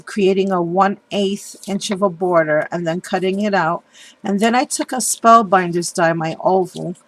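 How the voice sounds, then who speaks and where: A woman with a cold, sounding stuffed up, chatting casually through a craft tutorial with many pauses and apologies. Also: fairly low pitch, no echo, rough recording. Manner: chatty, apologetic, hesitant with fillers. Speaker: craft video host.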